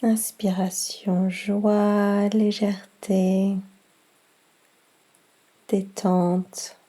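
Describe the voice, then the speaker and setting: A woman guiding a yoga relaxation session as instructor, speaking in a soft, breathy voice with calm, soothing instructions. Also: medium pitch. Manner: slow, calm, soothing instructions with long pauses. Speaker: yoga nidra instructor and guide. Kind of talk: guided relaxation meditation.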